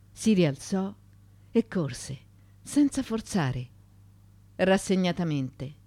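A woman giving an intense, theatrical literary reading for radio theatre, phrasing deliberately with pauses. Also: fairly low pitch, darkish tone, no room sound. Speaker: actress reading literary monologue. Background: music.

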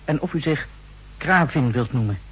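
An actor playing a polite, earnest young student in a 1960s radio drama, courteous and quick to comply. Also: male voice, mid-range voice, expressive, husky, poor audio. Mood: polite, pressing. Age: young adult. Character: eager, polite young male student running an errand. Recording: fuzzy old radio recording.

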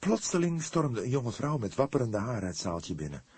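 A man reads a detective novel as a dramatic, measured and suspenseful audiobook narration. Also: mid-range voice, sing-song, darkish tone, breathy edge, dry recording.

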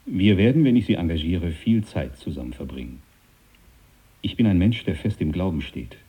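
A low-voiced, domineering naval captain. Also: male voice, lively intonation, moderate pace, warm tone, slightly rough. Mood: grave, suspicious. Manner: brusque, blunt, commanding. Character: stern, domineering, devout British naval captain. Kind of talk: radio drama dialogue.

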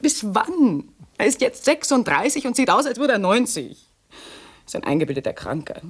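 An actress playing an agitated, unhappy wife with a secret lover, complaining intensely in a 1960s radio play. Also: female voice, a little high, very animated, normal speed, slight background noise. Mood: exasperated complaining.

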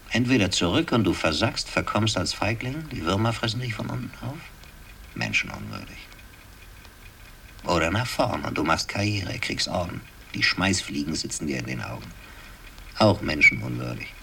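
An actor playing a bitter, cynical decorated soldier in a sardonic, brooding radio drama monologue, on a noisy old recording. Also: male voice, sits low, creaky, fairly bright tone, heavily acted. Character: bitter, cynical war-decorated soldier, lover of a young woman. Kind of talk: 1960s German radio drama acting.

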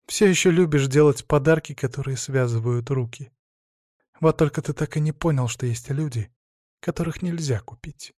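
A man's voice: a little high, moderate pace, darkish tone, no room sound, clean studio sound, close to an everyday voice. A man voicing a bitter, coldly defiant middle-aged woman, a wronged mother, in an intense, accusing audiobook performance. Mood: quiet cold scorn. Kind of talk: dramatic audiobook character voice.

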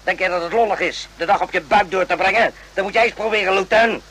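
An actor playing a stern, sardonic superior officer in a radio play, curt and commanding, with sarcastic repetitions. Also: male voice, high-pitched, fairly even intonation, fast talker, fairly bright tone, breathy edge, low-quality recording. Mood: sardonic, irritated.

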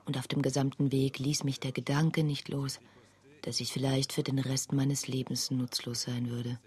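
Grave woman narrating her misfortune in a radio play, deep for a woman, raspy. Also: little pitch movement, normal speed, darkish tone, full character voice. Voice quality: husky. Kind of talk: scripted first-person radio play narration.

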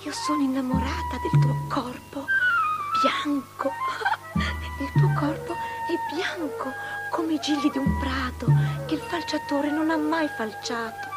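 A very high female voice over loud music, an obsessive young princess. She declaims like poetry, sensual and imperious, lingering on repeated words in theatrical radio drama. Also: sing-song, measured pace. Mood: enraptured. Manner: incantatory, lingering repetitions.

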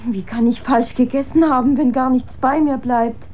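A woman's voice: sits high, fairly even intonation, moderate pace, lo-fi sound, a little hiss or hum, natural voice. An actress playing a lovesick, shivering young daughter of olden times in a radio drama, dreamy and archaic in her wording. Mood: ailing, defensive. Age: young adult.

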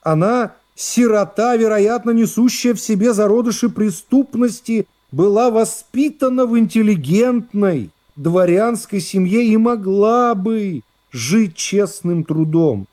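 Guy doing a smug dumb prosecutor in a radio play, puffed-up speechifying, drags out words, kinda high soft voice. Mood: pompous. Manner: oratorical, drawn-out words and affected pauses. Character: pompous, self-satisfied, dim-witted male assistant prosecutor orating in court.

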